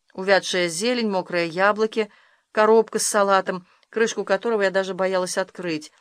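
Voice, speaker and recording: A narrator reads literary fiction in the first person, at a medium pitch. The recording is dry and close, with no room sound around the scripted storytelling. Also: fairly bright tone, dry recording. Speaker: audiobook narrator.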